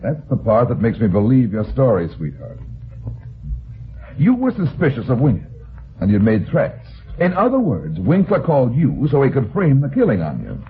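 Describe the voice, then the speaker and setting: An actor with an American accent playing a tough, quick-witted heavyset private detective in an old-time radio drama, brisk, commanding and wry. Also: male voice, mid-range voice, huge pitch swings. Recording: muffled, noisy old radio recording.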